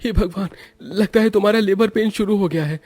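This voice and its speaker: A man's voice: high-pitched, a little fast, darkish tone, voice pushed up. Man acting an indignant young husband, raised voice. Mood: angry, reproachful. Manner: heated, reproachful, emphatic. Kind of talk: acted Hindi cartoon moral story.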